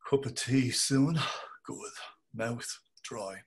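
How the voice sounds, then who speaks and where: Brief: older actor as a fussy husband thinking aloud, slow and husky, lively pitch, webcam. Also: male voice. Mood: musing. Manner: clipped muttered thoughts, fond talk to the cat. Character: fussy middle-aged husband musing to himself. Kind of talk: dramatic reading over video call. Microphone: video call webcam.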